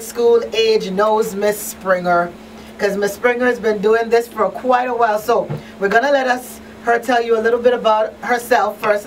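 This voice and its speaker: Woman talk show host, fairly high voice, informal, live call-in radio, slightly distant. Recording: slightly distant mic, faint noise.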